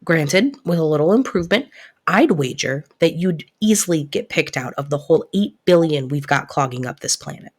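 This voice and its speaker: A woman playing a devoted, protective best friend in an intimate audio roleplay, soft-spoken and earnest, easily flustered. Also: low-pitched, lively intonation, breathy edge. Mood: insistent, sincere. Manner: earnest, reassuring, halting when flustered.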